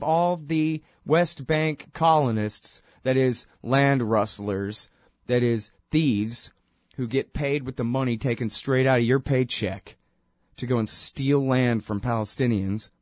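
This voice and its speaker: Congested guy talking on his podcast, rambly and sarcastic, mid-pitched voice, dry room. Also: no room sound. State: congested from a cold. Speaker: podcast host. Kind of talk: solo talk-show monologue.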